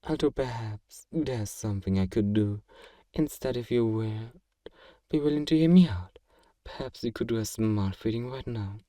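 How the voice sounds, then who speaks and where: A man playing a kind, possessive vampire master in an intimate ASMR roleplay, speaking in a gentle, genteel, coaxing and doting way. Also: mid-range voice, normal speed, no room sound, clean studio sound, close to an everyday voice. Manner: soothing, coaxing, politely doting.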